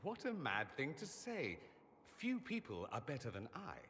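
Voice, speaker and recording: A witty, self-admiring mage for a fantasy video game. His speech is arch and sardonic, with lively swings of pitch. The voice rings in a long, reverberant hall. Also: moderate pace, slight background noise. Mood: wry, fond. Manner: theatrical, arch, sardonic delivery. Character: witty, vain mage from a fantasy empire, wryly self-aware. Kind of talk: dramatic video game dialogue.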